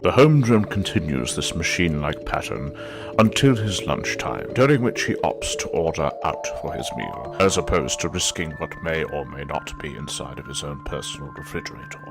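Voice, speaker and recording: An actor narrating in a measured, deadpan nature documentary style. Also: male voice, rumbling low, gravelly, over loud music, exaggerated voice. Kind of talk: scripted narration.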